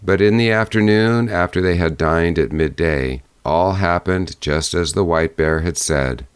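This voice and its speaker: Guy reading an old fairy tale, really low resonant voice, measured, dry close recording. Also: clean tone, dry recording. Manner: measured storytelling. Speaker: audiobook narrator. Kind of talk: scripted fairy-tale narration.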